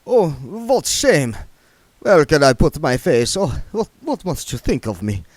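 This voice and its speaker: A man's voice: on the high side, sing-song, no room sound, voice pushed up. A man doing a trembling, tormented vampire. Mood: ashamed. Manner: slow, trembling, wailing, bursts into song. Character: anxious, trembling abstaining male vampire.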